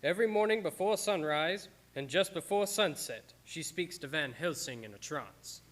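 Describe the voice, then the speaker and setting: A man's voice: on the high side, lively intonation, normal speed, fairly bright tone. An actor playing a grave young Englishman who reads his journal aloud in a radio drama. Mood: grave, measured. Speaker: actor narrating. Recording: music bed under live-streamed performance.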